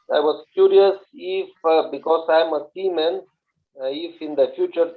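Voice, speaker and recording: A man from Bulgaria with a Bulgarian accent, a radio amateur introducing himself on a video call and pausing often to find his words. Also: a little high. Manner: halting, searching for words. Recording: tinny phone-like audio over a video call.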